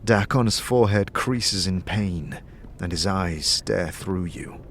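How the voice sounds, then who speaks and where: A man's voice: low voice, slow pace, slight background noise. A man narrating a scripted audio drama in the second person, as a storytelling game master.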